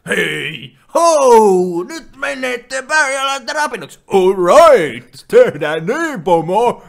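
A pushy cartoon zebra dad, played by a man, casual and slangy. The voice is fairly high for a male, gravelly and lively, in a homemade comedy dub. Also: heavily acted. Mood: pushy, loud.

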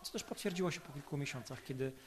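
A man speaking as an expert commentator in an analytical podcast interview, explaining in an articulate, measured way. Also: on the high side, background noise.

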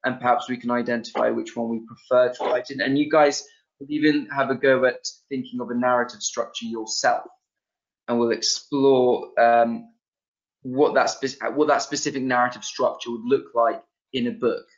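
A man's voice: medium pitch, steady tone, moderate pace. Young man teaching a webinar, lo-fi call sound. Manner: loose, thinking aloud with many ums and restarts. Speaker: webinar presenter. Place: small room indoors. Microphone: video call. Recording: dull lo-fi video-call sound.

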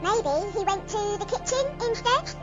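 A woman voicing small, anxious Christmas elves with a squeaky voice for a storybook. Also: moderate pace, muffled recording, over music. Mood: worried.

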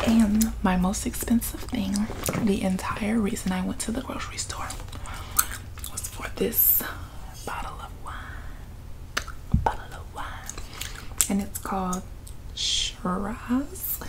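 A woman's voice: mid-range voice, rough recording. A young woman whispering a chatty, laughing ASMR ramble in her living room, talking to the camera in front of her. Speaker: ASMR vlogger. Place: living room with couch, indoors.

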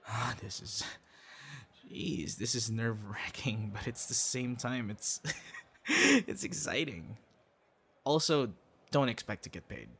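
A man's voice: medium pitch, sing-song, slow, long pauses, fairly bright tone, breathy edge, exaggerated voice. A man acting a shy, kind young boyfriend in an ASMR roleplay, speaking conversationally with hesitations and stammers. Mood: warm, excited.

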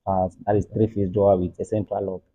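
A Ghanaian man speaks in a low, husky voice. He answers casually as an interview guest, haltingly and with repeated words. The sound is narrow, like a phone line. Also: little pitch movement, warm tone. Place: furnished room, seated in armchairs. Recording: thin, muffled phone-like sound.

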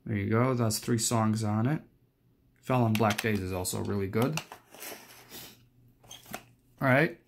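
A man's voice: on the low side, a little slow, vocal fry, long pauses, slight background noise. A young adult man giving a casual, unscripted show-and-tell, rambling hesitantly and often correcting himself. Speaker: collector presenting his cassette collection.

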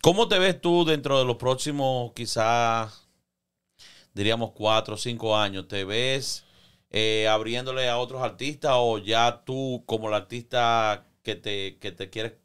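A man co-hosting a podcast in a studio on a boom mic, often echoing and finishing the guest's words. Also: a little slow, a bit bright, clear, resonant tone. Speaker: podcast co-host. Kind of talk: casual interview chat.

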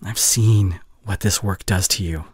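A young man playing a caring, heartbroken boyfriend in an intimate audio roleplay, speaking haltingly and earnestly, often dropping to near whisper. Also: low voice, slightly rough, no room sound, clean studio sound. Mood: pained, pleading. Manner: halting, earnest, pausing mid-sentence. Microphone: close studio condenser mic.

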